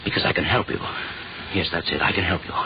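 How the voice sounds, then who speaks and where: A man's voice: deep voice, fast talker. An actor playing a fervent, vengeful henchman hungry for power, in intense old-time radio style. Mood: pleading, fervent. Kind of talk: 1940s radio acting. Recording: muffled, noisy old radio broadcast.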